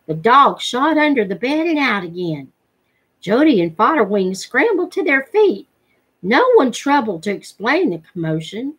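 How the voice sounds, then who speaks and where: Husky middle-aged woman reading a story aloud. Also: a little high, a bit bright, low-quality recording. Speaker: reader of a novel read-along. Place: living room at home, indoors.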